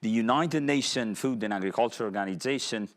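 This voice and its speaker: Man, Italian accent, somewhat low pitch, lecturing professor, halting, lots of pauses. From Italy (Naples). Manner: halting, searches for words with many pauses. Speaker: university professor and researcher. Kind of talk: public lecture with slides.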